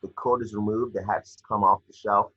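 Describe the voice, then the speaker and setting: A man's voice: fairly low pitch, fairly even intonation, moderate pace, pushed and bright, breathy edge. A man narrating scripted action descriptions at an amateur table read, on a slightly dull home recording. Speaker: reader of stage directions.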